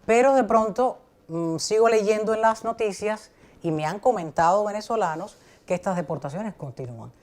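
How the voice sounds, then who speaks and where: A woman's voice: mid-range voice, animated, normal speed, darkish tone. A woman from Cuba hosting an interview, asking probing conversational questions. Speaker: host.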